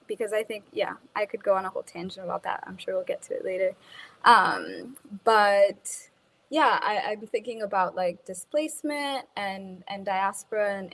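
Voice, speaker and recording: A woman's voice: medium pitch, low-quality recording. A woman from Kenya, raised in the States, answering interview questions in a casual, thoughtful way with frequent "like" and "um" fillers. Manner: many fillers like "like" and "um", restarts. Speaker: guest speaker.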